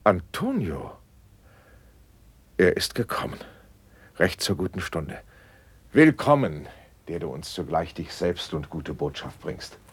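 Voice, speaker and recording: An actor playing an authoritative, sceptical prince in a classical verse radio play, formal, commanding and gracious but probing. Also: male voice, deep voice, lively intonation, takes it slowly, pauses often, breathy edge, exaggerated voice.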